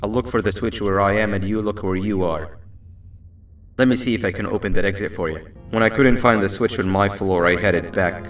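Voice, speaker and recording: A sardonic red devil mascot from a video game, played by a man, low in pitch and wry. He sounds world-weary but loyal, echoing and set back from the mic with music behind. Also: a little fast, close to an everyday voice. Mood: instructive. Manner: wry, conversational, exclamatory when pressed. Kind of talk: video game character acting. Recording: echoey, distant, music behind.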